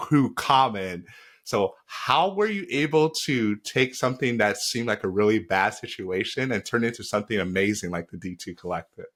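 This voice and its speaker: Low-voiced male podcast host, affirming. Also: fairly bright tone. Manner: affirming, quick to say "wow" and "absolutely". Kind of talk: casual interview hosting. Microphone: video call.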